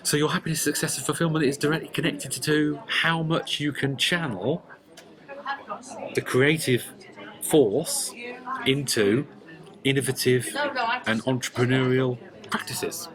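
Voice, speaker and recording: A man explaining a tarot and numerology reading one-to-one, speaking deliberately and emphatically with pauses. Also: medium pitch, crowd noise, low-quality recording.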